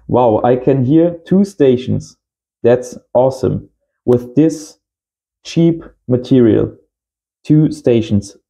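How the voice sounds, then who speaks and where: A man's voice: medium pitch, lively intonation, takes it slowly, gravelly, long pauses, warm tone. A man in his thirties giving a casual hands-on tutorial as host, in simple short phrases. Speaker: host of amateur radio channel. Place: small indoor home workshop.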